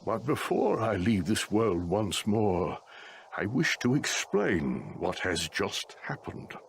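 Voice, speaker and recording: A man playing an aged, gentle alien patriarch in a video game cutscene, weary and solemn in a grave, measured way. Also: fairly low pitch, sing-song, creaky, a little hiss or hum, full character voice. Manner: slow, grave, measured delivery.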